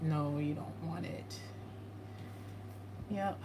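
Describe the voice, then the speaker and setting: Low monotone woman reading chat. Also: drawn-out words. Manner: flat, monotone delivery. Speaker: paranormal livestream co-host reading chat comments. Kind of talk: casual livestream chat. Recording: clear background noise.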